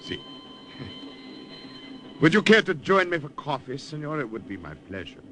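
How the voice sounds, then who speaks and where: A man's voice: on the high side, wildly swooping pitch, unhurried, a bit bright, heavily acted. A man with a German accent voicing a genial, probing German field marshal, acted in 1940s radio style. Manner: genial yet interrogating, courtly. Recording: hissy old radio broadcast.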